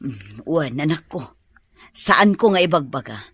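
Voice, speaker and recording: A woman's voice: sits low, sing-song, a little slow, fairly bright tone, husky, no room sound, heavily acted. A woman with an Ilocano accent playing an easygoing, sociable mother in a radio drama. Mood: obliging.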